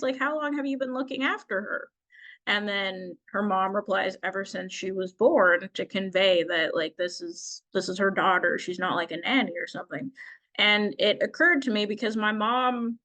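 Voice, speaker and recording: A woman's voice: medium pitch, sharp tone. A woman making informal, reflective and meandering remarks as a participant at a symposium, often saying "like". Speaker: audience participant commenting.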